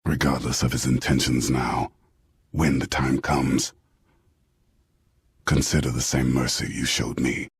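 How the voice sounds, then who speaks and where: A man playing a grave former enemy turned devoted ally in a video game, solemn, measured and earnest, with a husky voice. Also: a bass voice, level tone, dry recording, clean studio sound, full character voice. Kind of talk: dramatic video game acting.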